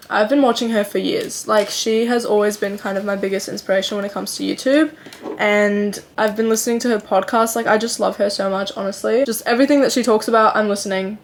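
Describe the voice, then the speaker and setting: A woman's voice: mid-range voice, a little hiss or hum. An 18-year-old woman from Slovenia chatting through a get-ready-with-me vlog at home, upbeat and full of "like". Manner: chatty, upbeat, filler-heavy ("like"). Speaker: beauty vlogger.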